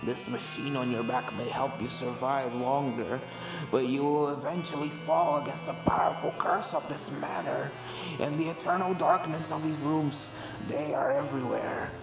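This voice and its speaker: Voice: medium pitch, fairly even intonation, moderate pace, gravelly, close to an everyday voice. A menacing ghost pony speaks from a haunted manor portrait, acted out by a fan fiction reader. The delivery is ominous and taunting, with a heavy echo and music playing underneath. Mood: ominous gloating. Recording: echoey voice with music behind.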